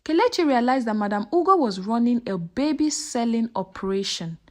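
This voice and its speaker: A woman's voice: on the high side, animated, a little fast, darkish tone. A woman narrating a scripted folktale in a dramatic storytelling style.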